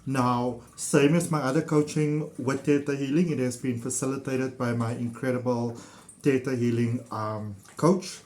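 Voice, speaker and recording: Casual talker: South African man, mid-range voice, warm enthusiasm, rambling delivery with frequent fillers. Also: medium pitch, fairly even intonation, moderate pace. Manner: enthusiastic, meandering, lots of fillers. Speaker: ThetaHealing practitioner. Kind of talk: casual personal testimonial to camera.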